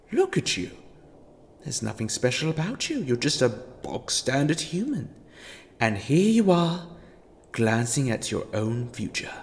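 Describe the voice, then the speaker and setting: A man's voice: mid-range voice, a little mellow. An actor playing a scheming, theatrical male villain in a fan audio drama, talking to a captive in a grandiose, mock-apologetic monologue. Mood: condescending.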